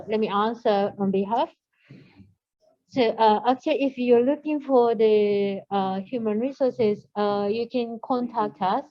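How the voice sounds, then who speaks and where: A woman moderating a panel, speaking unhurriedly with frequent "uh" pauses. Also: mid-range voice. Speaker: event moderator. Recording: dull lo-fi sound.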